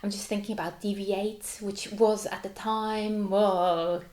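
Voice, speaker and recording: A woman's voice: medium pitch, steady tone, unhurried, a little mellow, reverberant. A Swiss woman in her forties, German her first language, a contemporary dancer chatting animatedly in an interview with lots of "like" fillers and laughs. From Switzerland. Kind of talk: casual interview chat.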